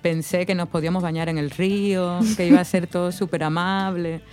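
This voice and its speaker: A woman in her thirties from Cádiz, with a mixed Andalusian Spanish accent, chatting and telling stories in a lively way. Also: lowish voice, fairly quick, soft background music. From Spain (Cádiz). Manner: chatty, animated storytelling with frequent "¿no?". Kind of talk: casual conversation.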